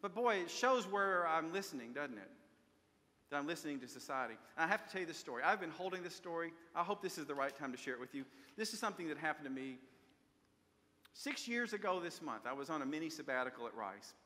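A man's voice: on the high side, animated, fast talker, bright, forceful tone. An older man preaching a sermon from a church pulpit, telling stories earnestly and asking rhetorical questions. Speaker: pastor. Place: large church sanctuary at a pulpit. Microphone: pulpit microphone, far camera. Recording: long church reverb.

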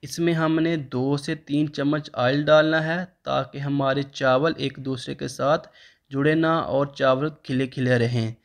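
Flat-voiced man narrating a cooking recipe. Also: on the high side. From Pakistan. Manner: steady step-by-step instructional tone. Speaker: cooking channel host.

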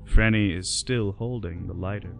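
A man's voice: low-pitched, moderate pace, vocal fry, soft background music. A man narrating a radio play in scripted storytelling style.

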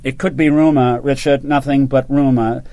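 A man's voice: mid-range voice, steady tone, moderate pace, warm tone, no echo, lightly acted. A man voicing a grandiose, wry male colonel with a familiar way of talking, in an audiobook. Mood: grand, teasing. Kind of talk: audiobook character voice.

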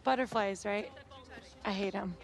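An actress playing a friendly, reassuring young footballer in a video game cutscene. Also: female voice, medium pitch, a little slow, gravelly. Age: young adult.

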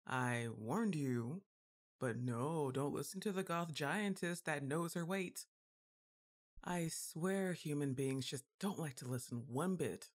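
A woman's voice: deep voice, takes it slowly. Woman acting a wry goth giantess witch girlfriend. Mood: exasperated. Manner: casual, wry, hesitant asides. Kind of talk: ASMR roleplay audio.

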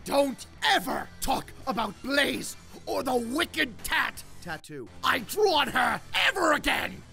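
Woman doing touchy lovesick cartoon boyfriend guy, super creaky, swings all over, very dramatic. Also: sits high, very animated, slow, sharp tone, shouting, faint music behind, heavily acted. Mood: furious. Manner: melodramatic, emphatic. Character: volatile, possessive, lovesick man boasting of three girlfriends. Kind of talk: over-the-top comic dub acting.

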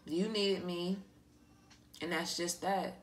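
A woman giving a casual, improvised card reading to an online audience, talking in an animated, slangy way and swearing freely. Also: on the low side, slow. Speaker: tarot card reader, online host. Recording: music playing behind the voice. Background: pop and R&B songs playing.

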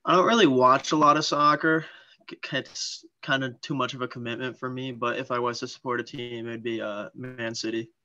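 A man's voice: mid-range voice, steady tone, a little fast, bright, forceful tone. A young man from Massachusetts, an MBA student, introducing himself in a matter-of-fact way over a video call. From Western Massachusetts. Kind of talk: self-introduction. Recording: dull video-call audio.

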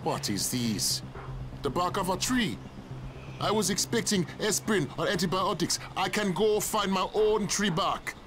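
A man's voice: high voice, lively intonation, measured pace, over music. An actor playing a grave, protective middle-aged village father in a video game, blunt, distrustful and ominous. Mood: suspicious, threatening. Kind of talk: video game cutscene acting.

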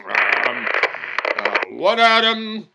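A man voicing an excitable, bumbling, stammering puppet cop in a comic puppet show. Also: medium pitch, drawn-out words, vocal fry, very noisy, full character voice. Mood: nervous. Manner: hesitant, stammering, exclamatory. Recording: loud music behind.

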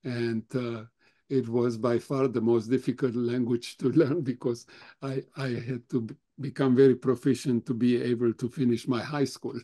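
An older man whose first language is German, slowly answering questions at a remembrance talk with many halting pauses. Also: medium pitch, flat delivery, warm tone. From Europe; grew up speaking German, Russian, Romanian. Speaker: Holocaust survivor guest speaker.

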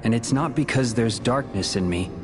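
A man playing a brooding, resolute young warrior in a video game cutscene, calm, measured and earnest. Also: medium pitch, over music, natural voice. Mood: confident.

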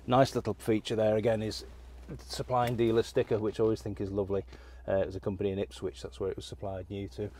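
Middle-aged man, lowish voice, easygoing off-the-cuff auction presenter, warehouse, phone-recorded. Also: quick pace, hardly any pauses. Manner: relaxed and conversational, with frequent fillers and restarts. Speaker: classic car auction presenter. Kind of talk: unscripted walkaround car presentation. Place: large indoor auction warehouse.

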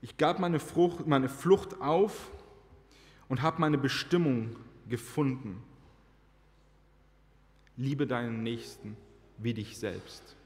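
Earnest preacher man in echoing church. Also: medium pitch. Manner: earnest, slows down to stress key phrases. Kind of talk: personal testimony sermon. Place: church sanctuary, large indoor hall. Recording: reverberant church hall.

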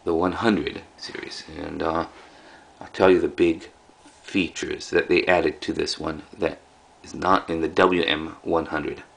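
A man casually showing items as a video host, speaking in halting, offhand short phrases. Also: rumbling low, flat delivery, a bit bright. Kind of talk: casual product showcase. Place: indoors in a room at home. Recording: clearly noisy home recording.

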